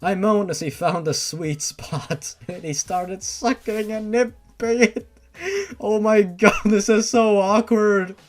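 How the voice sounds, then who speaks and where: A man's voice: high voice, steady tone, takes it slowly. A man giving a theatrical, exaggerated comedic reading of fan fiction as narrator, breaking in with his own asides. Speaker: story reader.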